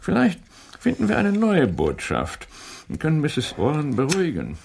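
An actor playing an eccentric detective who reasons aloud in a German radio drama, with a husky, gravelly voice and a musing, declamatory manner. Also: male voice, a little high, wildly swooping pitch, a little mellow, heavily acted. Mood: intrigued, deliberate. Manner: theatrical, musing, declaims read-out notices slowly.

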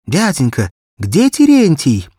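Storybook role: small, frightened beggar girl, played by a man, raised pitch, imploring, dry sound. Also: huge pitch swings, slow pace, mellow, slightly rough, dry recording, heavily acted. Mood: anxious. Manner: plaintive, imploring. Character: pleading, frightened six-year-old beggar girl.

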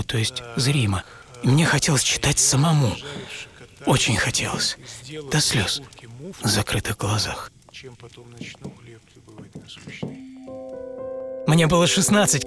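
Radio drama: man playing a blind, reflective inventor telling his life with gentle irony; slightly high register, expressive pacing, music underneath. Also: close to an everyday voice. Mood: wistful. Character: blind French inventor recalling his childhood, wry and reflective. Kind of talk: dramatic first-person monologue for radio audio play.

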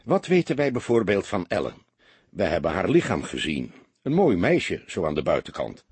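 A man voicing a seasoned, laconic police inspector who lectures his young partner calmly and a little gruffly, with a husky voice. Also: deep voice, moderate pace, no room sound, full character voice. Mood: reflective.

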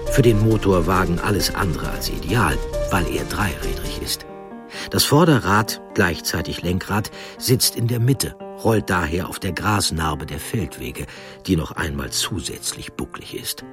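A man with a low-pitched voice narrates a scripted historical story. He phrases it deliberately and dramatically, often in short units. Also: animated, music in the background.

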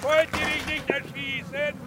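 Crazed war veteran, very high voice, slow drawn-out yelling, foul-mouthed, audio drama. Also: male voice, level tone, background noise. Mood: bitter, taunting. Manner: shouting wildly. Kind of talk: German audio drama acting.

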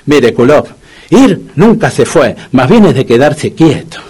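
A man's voice: medium pitch, big pitch swings, measured pace, darkish tone, breathy edge. An actor playing a grumpy, anxious older man from Buenos Aires in a comedic radio play, hesitant and prone to stammering complaints. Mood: exasperated. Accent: Argentine, Buenos Aires. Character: grumpy, anxious older Argentine man, bumbling dog owner.

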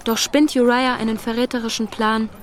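Actress as a gentle, sincere young lady. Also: fairly high pitch, little pitch movement, a little fast, a little hiss or hum, close to an everyday voice. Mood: worried, hopeful. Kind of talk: German radio play acting.

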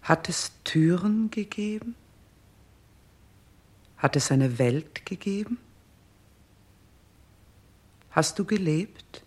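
A woman playing a stern, composed queen in a 1960s German radio play, speaking in a measured, solemn, commanding way. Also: lowish voice, lively intonation, a little mellow, breathy edge, heavily acted. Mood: solemn, hypnotic. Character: stern, composed queen guiding a king toward death.

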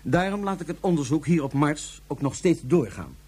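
An actor playing a composed, calculating ruler on Mars who speaks formally and deliberately, with small hesitations, in a radio drama. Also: male voice, on the high side, very animated, darkish tone, breathy edge. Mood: thoughtful, commanding. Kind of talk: Dutch science fiction radio drama acting.

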